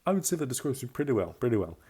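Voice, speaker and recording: A man's voice: mid-range voice, sing-song, very fast, dark tone, slightly rough. A man in his early twenties giving casual commentary about himself, rambling and often restating or correcting his phrases. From Belgium, Scotland and France. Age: early 20s. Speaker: YouTuber.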